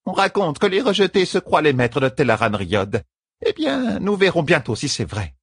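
Guy doing a wary wise woman, raised husky voice, resolute, fantasy audiobook. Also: fairly high pitch, very animated, normal speed, a bit bright, no echo, studio quality, voice pushed up, heavily acted.

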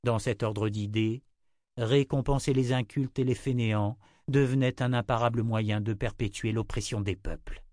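A man reading a fantasy novel aloud in a measured, deliberate way as its narrator. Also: medium pitch, fairly even intonation, dark tone, no room sound, clean studio sound. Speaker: audiobook narrator. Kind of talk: scripted narration.

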